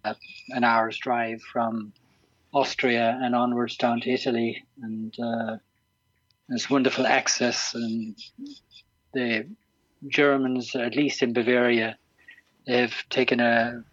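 An Irish man in his sixties chatting as an interview guest, hesitant and full of ums and restarts. His voice sits at an ordinary pitch. The sound is dull and lo-fi, with a dry, close feel. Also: little pitch movement, slow, pauses often, a bit bright, dry recording. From Ireland. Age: 60s. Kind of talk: casual interview chat.

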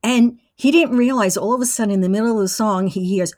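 A woman in her sixties telling casual anecdotes as an interview guest, with an animated storytelling manner. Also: medium pitch, fast talker, dry recording, clean studio sound. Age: 60s. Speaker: interview guest, former college radio engineer.

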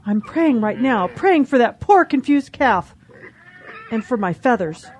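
A woman voicing a hot-tempered, sarcastic hen in an animal audio drama, with exasperated, drawn-out delivery. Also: fairly high pitch, lively intonation, background noise. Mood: sarcastic, indignant.

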